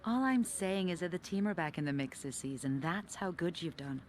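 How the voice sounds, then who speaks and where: A frank, supportive confidante played by a woman in a cinematic racing game. She talks conversationally in a fairly low voice, with faint noise behind her. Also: animated, normal speed, a little mellow, natural voice. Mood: encouraging. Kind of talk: cinematic video game dialogue.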